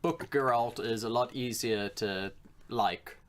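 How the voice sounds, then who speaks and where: Man, medium pitch, podcast co-host, casual conversational delivery. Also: slow pace, fairly bright tone. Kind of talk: casual chat about films and games.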